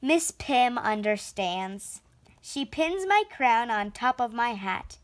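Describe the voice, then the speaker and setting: A woman narrates a children's storybook. Her voice is high, and she takes her time. The phrasing is deliberate and expressive. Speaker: storybook reader. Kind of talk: children's read-aloud narration.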